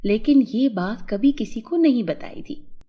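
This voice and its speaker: Female narrator for kids' fairy tales: high, expressive voice, unhurried pace. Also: slightly rough, dull audio. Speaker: storyteller. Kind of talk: scripted children's fairy tale narration.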